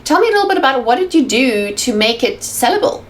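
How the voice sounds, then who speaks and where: A woman's voice: high voice, big pitch swings, fast talker, a little hiss or hum. A woman from South Africa with a South African accent, hosting an interview in an enthusiastic, chatty way and laughing often. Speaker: host.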